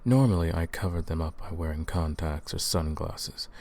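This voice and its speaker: An extremely deep male voice with a gravelly creak, a man acting as an ancient vampire who hunts other vampires. He is brooding, menacing and world-weary, speaking in measured phrases as if to one listener in an ASMR audio roleplay. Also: a little mellow. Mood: wry, menacing. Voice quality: low, gravelly.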